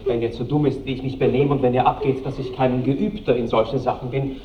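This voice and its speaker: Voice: medium pitch, fairly even intonation, brisk, natural voice. An actor playing an ardent, sulky seventeen-year-old count in a radio play, impetuous and petulant in a theatrical way. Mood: defiant, sulky. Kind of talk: mid-century German radio play acting. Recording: muffled, hissy old radio recording, distant, roomy.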